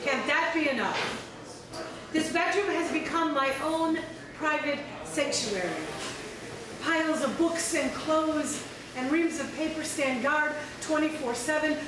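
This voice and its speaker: A middle-aged American woman reading her poems slowly at an open mic, with chatty asides between them. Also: sits high. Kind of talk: poetry reading. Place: small cafe stage at night. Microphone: stand mic, camera from across the room. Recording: echoey room, distant camera mic, crowd. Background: audience in the cafe.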